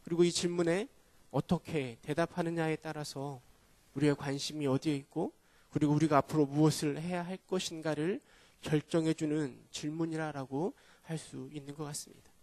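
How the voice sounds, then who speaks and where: A male pastor preaching a sermon at a church prayer meeting, hoarse from a cold, earnest and putting rhetorical questions to the congregation. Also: on the high side, steady tone, moderate pace, darkish tone, no room sound.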